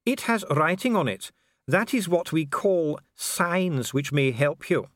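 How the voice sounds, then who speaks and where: Guy doing a snooty dwarf official for a storybook, high voice that swings around, very precise and solemn. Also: big pitch swings, normal speed, no echo, clean studio sound. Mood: haughty. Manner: formal, precise, pompous. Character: stiff, solemn, disdainful male dwarf official. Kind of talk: audiobook character acting.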